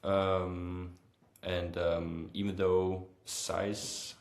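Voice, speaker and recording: A young man with a Danish accent and a low voice speaks in a very flat, unhurried way. He pauses often with hesitant fillers as he answers casually as an interview guest. The sound is roomy and echoing. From Copenhagen, Denmark. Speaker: interview guest, football goalkeeper.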